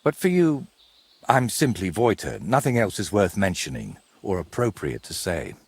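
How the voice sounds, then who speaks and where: Husky, wordy ageing medieval painter. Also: male voice, low-pitched, very animated, normal speed, full character voice. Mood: proud, musing. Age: middle-aged. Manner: theatrical, wordy, courteous. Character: wry, wordy ageing medieval painter, robbed but polite. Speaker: video game character. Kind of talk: game cutscene dialogue.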